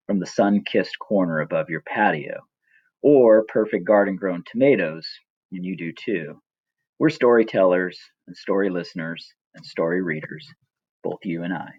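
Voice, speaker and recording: A middle-aged man reading his own personal story as scripted narration, reflective, measured and gently wry. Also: low voice, lively intonation, husky, no room sound, muffled recording.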